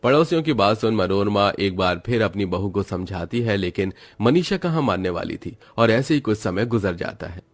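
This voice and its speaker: Low-voiced male story narrator. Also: expressive, moderate pace, hardly any pauses. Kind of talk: scripted narration of a family story.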